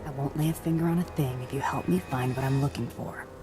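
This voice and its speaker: A woman's voice: very deep voice, fairly even intonation, quick pace, music in the background. A woman playing a cocky bounty hunter in a video game, dry, flippant and confident. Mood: brusque. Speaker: player character in a video game. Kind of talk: scripted game dialogue.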